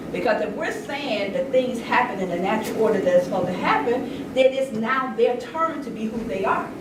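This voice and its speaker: Older woman speaking earnestly in an echoey room. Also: mid-range voice. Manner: earnest, emphatic, conversational storytelling. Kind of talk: impassioned informal public talk. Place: indoor room at a public event. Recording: echoey room, distant mic, noisy background.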